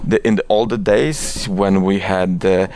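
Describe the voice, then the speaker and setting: A man's voice: low-pitched, steady tone, measured pace, darkish tone. A man from Poland talking passionately in an interview, gesticulating as he speaks and restarting his sentences often. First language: Polish. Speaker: guest. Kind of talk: conversational interview.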